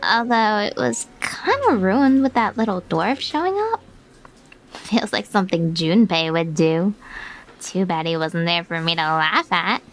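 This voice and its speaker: A woman's voice: fairly low pitch, expressive, a little slow. A woman playing an amused, gossipy young woman who chats to herself in an audio drama. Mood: gleeful, musing. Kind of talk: audio drama character acting.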